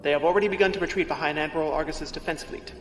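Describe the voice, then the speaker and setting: A man's voice: on the high side, fairly even intonation, very fast, natural voice. A man playing a dutiful, formal shipboard aide in an audio drama, reporting with precision. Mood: alert, dutiful. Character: dutiful, formal male aide serving his mistress. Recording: echoey reverb, faint noise.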